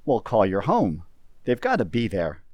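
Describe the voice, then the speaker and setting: A man playing an impatient business partner in an old-style radio drama, brisk and incredulous. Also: on the low side, huge pitch swings, a little mellow, dry recording, heavily acted. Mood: exasperated. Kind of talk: 1940s radio drama acting.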